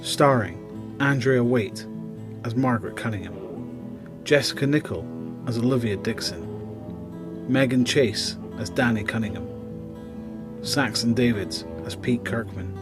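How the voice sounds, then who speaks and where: A man narrating an audio drama in a solemn, deliberate, dramatic scripted style. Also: mid-range voice. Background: music.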